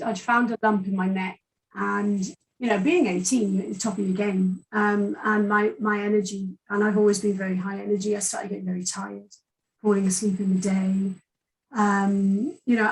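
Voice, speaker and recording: A woman with a Welsh accent tells her story in an open, chatty way, full of fillers. Her voice sits at a middle pitch and sounds a little distant from the microphone. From Wales. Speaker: guest. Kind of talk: personal story in an interview.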